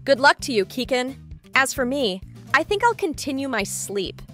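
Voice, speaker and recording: A woman's voice: sits high, very animated, normal speed, loud music behind the voice. A woman voicing a sleepy, smug cartoon cat in an amateur comic dub. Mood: drowsy, unbothered.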